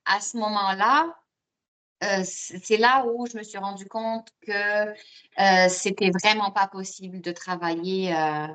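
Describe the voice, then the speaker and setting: Female interview guest from Morocco, mid-range voice, conversational, halting and repetitive while thinking. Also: mid-range voice, steady tone, a bit bright. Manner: hesitant, repeats words while thinking. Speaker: podcast guest; osteopath and student. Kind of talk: casual interview, personal storytelling.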